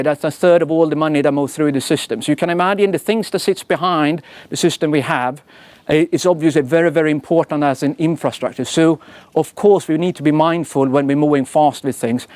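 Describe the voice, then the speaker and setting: A man from Sweden with a clear Swedish accent talks through a casual conference presentation in a slightly high voice. He rambles in a friendly way, laces his points with fillers and "yeah?", and pokes fun at himself. The sound is dry and close. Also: steady tone, fairly quick, darkish tone, dry recording. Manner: chatty, self-deprecating, many fillers and tag questions. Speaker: engineering leader giving a talk.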